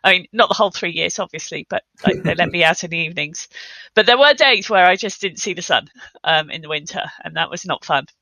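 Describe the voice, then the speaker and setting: A woman's voice: fairly low pitch, lively intonation, fast, creaky, sharp tone, dry recording, low-quality recording. A middle-aged woman chatting casually and emphatically as a podcast guest, telling stories in an interview. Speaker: podcast guest, software consultant.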